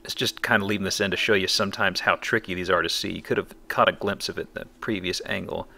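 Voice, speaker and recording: A male let's play host talking casually over a game. His voice is on the low side, crackly with fry and bright, and his delivery is wry. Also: fairly even intonation, fast talker.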